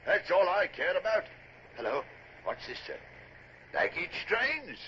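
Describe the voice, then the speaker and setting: An actor playing a blunt, hearty British naval officer eager for battle in a radio drama, with a rough, excitable voice. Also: male voice, sits high, measured pace, slight background noise, exaggerated voice. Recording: dull vintage radio recording.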